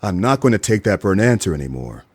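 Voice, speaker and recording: An actor playing a weary, suspicious private detective in a noir radio play, with a dry, sardonic deadpan manner. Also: male voice, deep voice, quick pace, breathy edge, dry recording, heavily acted. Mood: confrontational, dry.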